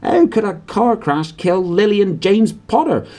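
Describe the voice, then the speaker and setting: A man voicing a huge, warm-hearted but hot-tempered giant groundskeeper in a storybook, blunt and folksy. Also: high-pitched, very animated, measured pace, pitched above the performer's own voice. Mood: outraged.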